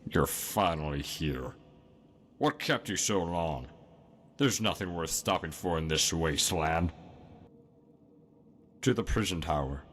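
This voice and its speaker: A man's voice: sits low, lively intonation, measured pace, long pauses, fairly bright tone. A man playing a grim wasteland guide in a fantasy game, speaking in a terse, grave manner. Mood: grim, impatient. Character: grim male guide through a hostile wasteland. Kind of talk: fantasy video game voice acting.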